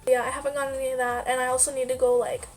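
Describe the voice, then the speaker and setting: High-voiced young woman, stuffy nasal. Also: level tone, very fast, soft background music. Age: young adult. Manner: rambling, lots of "like" fillers. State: stuffy-nosed from a sinus infection. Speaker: vlogger. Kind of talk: casual life-update chat.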